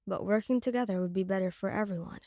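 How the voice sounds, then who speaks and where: A woman voicing a calm, earnest young male mafia peacemaker with an intense, pleading manner in an audio roleplay. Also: mid-range voice, fast, no room sound, studio quality, close to an everyday voice. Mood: calm, placating.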